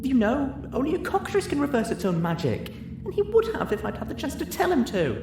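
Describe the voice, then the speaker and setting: A man voicing a timid, gentle young female pegasus pony with a meek, hesitant manner, in a story reading. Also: very high voice, wildly swooping pitch, fast talker, echoey room, recorded from a distance, background noise, pitched above the performer's own voice, heavily acted. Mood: upset.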